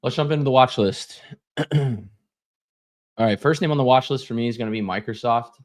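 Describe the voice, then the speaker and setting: A man's voice: medium pitch, a little fast. A young man losing his voice, running a casual live trading stream with lots of fillers and repeated words.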